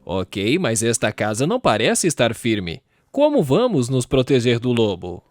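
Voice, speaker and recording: A man's voice: medium pitch, huge pitch swings, measured pace, over music. A man voicing a cautious, earnest elder pig brother in a children's cartoon. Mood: cautious, concerned. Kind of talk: children's cartoon character acting.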